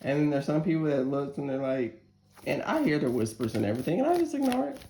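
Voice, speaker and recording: Deep gravelly woman reading tarot. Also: sing-song, fast, dark tone, slight background noise. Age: 40s. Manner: chatty, candid, curses freely and laughs often. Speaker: tarot reader. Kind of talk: casual tarot reading with spoken prayer. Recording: roomy echo.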